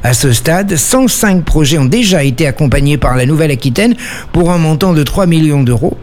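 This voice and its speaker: A man's voice: on the high side, animated, moderate pace, a little mellow. A man hosting a local radio show, reading a news bulletin and then interviewing a guest with some hesitant fillers. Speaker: radio host. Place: radio studio.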